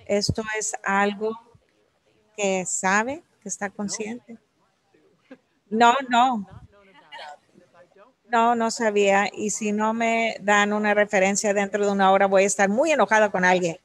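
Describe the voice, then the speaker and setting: Woman interpreting in halting phrases. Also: medium pitch, little pitch movement, low-quality recording. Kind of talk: live spoken interpretation of an academic talk.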